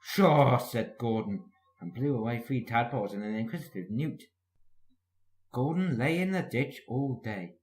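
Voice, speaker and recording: A man's voice: medium pitch, expressive, normal speed, darkish tone. A man reading a scripted children's story as the narrator. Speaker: storybook reader. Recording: echoey room.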